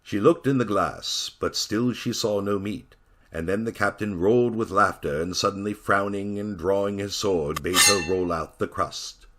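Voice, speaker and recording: A man narrating a dark tale in a theatrical, expressive storytelling reading with grim relish. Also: lowish voice, measured pace, a little hiss or hum.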